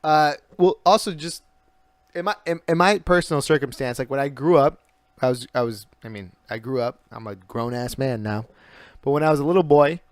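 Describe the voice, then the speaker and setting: A man's voice: mid-range voice, fairly quick, clean tone, dry recording. A young man hosting a podcast, loose and joking, swearing casually as he tells his own story. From grew up in Singapore. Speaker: podcast host.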